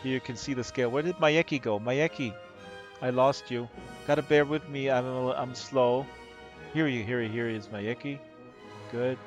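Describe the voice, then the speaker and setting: A man with a hoarse voice giving casual, excitable live-stream commentary, rambling and gushing with amazement. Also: mid-range voice, normal speed. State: hoarse from talking all day. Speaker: live streamer, virtual world tour guide. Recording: dull lo-fi stream audio with music underneath. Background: music playing.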